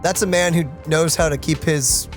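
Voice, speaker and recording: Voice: medium pitch, fairly even intonation, moderate pace. A gaming streamer giving casual commentary during a let's play. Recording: faint game music behind.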